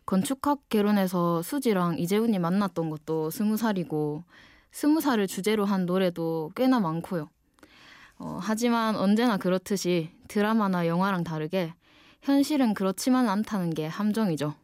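A woman's voice: medium pitch, animated, moderate pace, darkish tone, no room sound. Young Korean woman with Gyeongsang accent, dry sound. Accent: Gyeongsang (Ulsan) Korean. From Ulsan, South Korea. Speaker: guest late-night radio DJ, design student. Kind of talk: personal radio monologue between songs.

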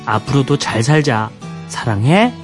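A man's voice: mid-range voice, very animated, unhurried, over loud music. A man on a radio show, expressively telling a listener's story.

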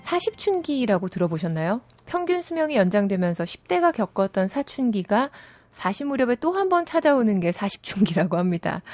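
A woman in her thirties hosting a radio magazine show, reading a scripted segment smoothly and then chatting with her guest. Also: medium pitch, darkish tone, no room sound. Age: 30s. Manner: smooth scripted delivery, chatty and laughing in talk. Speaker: radio host. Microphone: studio microphone. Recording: studio radio with background music. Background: music bed.

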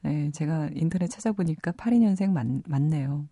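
A woman's voice: low-pitched, normal speed, warm tone, breathy edge, dry recording. A woman in her thirties from South Korea hosting a radio program, moving between scripted narration and chatty talk, close on a studio microphone. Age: 30s. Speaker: radio host of a movie program.

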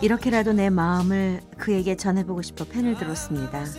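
A woman's voice: medium pitch, quick pace, darkish tone, faint music behind, close to an everyday voice. A woman playing a wistful narrator in her fifties, telling a sentimental first-love story for a radio drama. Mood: wistful, reflective. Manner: sentimental, reflective.

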